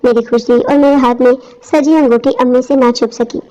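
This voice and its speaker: A woman's voice: sits high, fairly even intonation, moderate pace, dark tone. A woman reading a scripted first-person story as its narrator. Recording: dull lo-fi audio.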